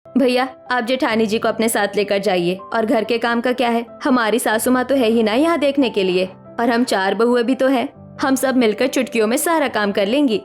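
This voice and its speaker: Actress as confident young bahu in cartoon drama, shrewd, assertive, high-pitched, faint music bed. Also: female voice, moderate pace, natural voice. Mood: persuasive. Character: assertive, shrewd young city daughter-in-law.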